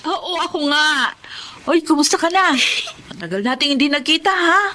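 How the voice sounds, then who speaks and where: High-voiced, chatty, friendly cousin. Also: female voice, animated, normal speed, sharp tone, a little hiss or hum. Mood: excited, concerned. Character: friendly, concerned older female cousin. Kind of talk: radio drama acting.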